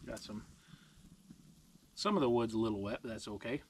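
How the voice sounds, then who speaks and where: A man's voice: fairly low pitch, moderate pace, a little hiss or hum. A man in his thirties casually vlogging outdoors at a snowy campsite, chuckling and talking as he works over a fire pit, recorded by a camera a few feet away. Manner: laid-back, chuckling, talks while working. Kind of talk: casual camp cooking vlog. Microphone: camera on a tripod a few feet away. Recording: outdoor ambience and sizzling fire.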